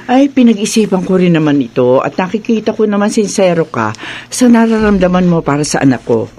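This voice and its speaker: An actress playing a protective middle-aged mother in a radio drama, speaking warmly but firmly. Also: fairly low pitch, very animated, brisk, slight background noise. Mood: approving. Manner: warm but firm, protective. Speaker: mother character.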